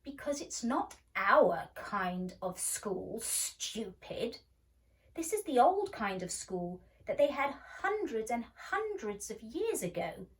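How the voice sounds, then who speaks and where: A woman's voice: a little high, big pitch swings, slow, far from the mic. A woman voicing a superior, scornful young boy in a storybook, with an emphatic, condescending manner. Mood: lofty, superior.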